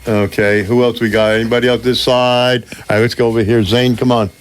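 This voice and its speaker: A man's voice: mid-range voice, little pitch movement, brisk, clean tone. A male radio host in a small studio, upbeat and joking, coaxing kids to speak on air. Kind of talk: lively on-air chat with kids.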